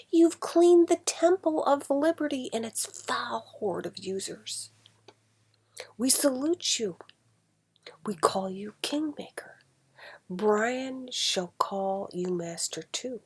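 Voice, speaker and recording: A woman voicing a jubilant, worshipful political crowd in a storybook, with loud, drawn-out, declaiming cries. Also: high voice, husky, pitched above the performer's own voice, exaggerated voice. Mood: exultant adoration. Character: jubilant, worshipful mob hailing its political saviour.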